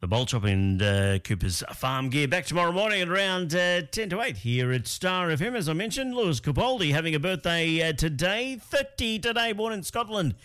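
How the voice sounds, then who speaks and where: A man's voice: on the high side, smooth. Aussie male breakfast-radio host, laid-back, chatty, lots of "uh"s. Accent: Australian. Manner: relaxed, with frequent fillers and pauses. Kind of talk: local radio birthday segment.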